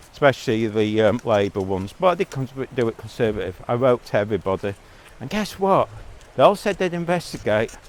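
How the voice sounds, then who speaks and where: A man giving a blunt, rambling monologue as a vlogger, talking while out on a walk. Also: medium pitch.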